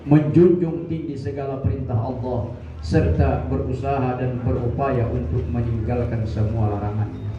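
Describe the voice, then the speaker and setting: A man in his sixties giving a Friday mosque sermon, measured and building in emphasis. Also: medium pitch, fairly even intonation. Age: 60s. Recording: echoey, distant, dull mosque recording with light noise.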